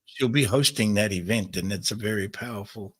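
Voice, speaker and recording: A man hosting a spiritual call-in show, greeting callers as brother and answering in a searching, halting way. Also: lowish voice, husky. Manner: warm address, calls people brother and mother. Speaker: host.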